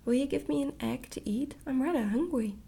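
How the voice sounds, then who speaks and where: A woman voicing an inquisitive little princess, high-pitched. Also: a little fast, gravelly, mellow. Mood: eager. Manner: inquisitive, eager questioning. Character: curious little princess, a bright, direct child. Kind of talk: soft-spoken ASMR storybook reading.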